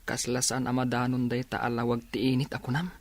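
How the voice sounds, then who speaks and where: A man's voice: mid-range voice, dry recording, close to an everyday voice. A man playing an affectionate young man in love who pleads and sighs in a radio drama. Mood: sighing. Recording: noisy recording with steady hiss.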